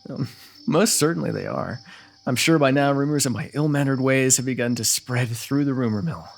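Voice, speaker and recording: A man's voice: mid-range voice, moderate pace, vocal fry, faint music behind, close to an everyday voice. A man playing a teasing, lovestruck royal ruler with courtly, formal phrasing in an ASMR fantasy roleplay. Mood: wry, wistful.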